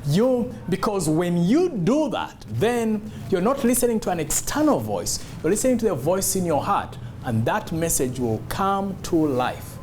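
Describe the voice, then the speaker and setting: A man giving an instructional talk as a public speaking coach, emphatic and deliberate, repeating key words. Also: high-pitched, sing-song, darkish tone, a little hiss or hum. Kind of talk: instructional talk to camera on voice modulation.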